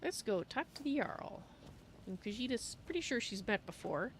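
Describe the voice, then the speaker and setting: A woman's voice: on the high side, very animated, normal speed, a little hiss or hum. A woman roleplaying a polite, slightly befuddled female cat-folk adventurer in a video game, speaking of herself in third person. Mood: musing, polite.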